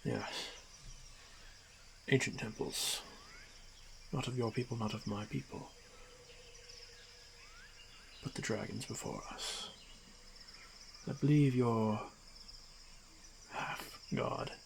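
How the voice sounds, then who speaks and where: Orc warrior , male performer: confident hunter, slow solemn delivery with gravel, fantasy roleplay audio over outdoor night ambience. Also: lowish voice, background noise. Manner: measured, halting, terse phrases with solemn pauses.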